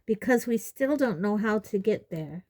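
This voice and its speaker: A woman's voice: medium pitch, expressive, measured pace, a little mellow, lightly acted. A woman voicing a frustrated, commanding teenage boy hero in a storybook, curt and urgent. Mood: frustrated, angry.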